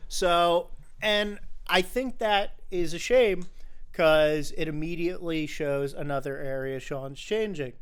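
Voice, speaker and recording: A man co-hosting a film podcast in casual discussion, talking haltingly and often repeating his phrases. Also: fairly high pitch, clear, resonant tone.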